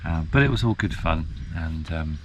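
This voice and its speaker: A man in his fifties, chatting casually to the camera outdoors in a field, relaxed and rambling with plenty of ums. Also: rumbling low, moderate pace, noisy background. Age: 50s. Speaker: vlogger. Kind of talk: casual vlog chat.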